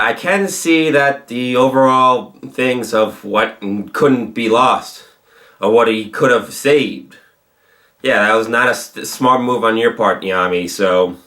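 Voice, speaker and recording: A man's voice: medium pitch, bright, forceful tone. A man hosting a reaction video, commenting casually on what he watches.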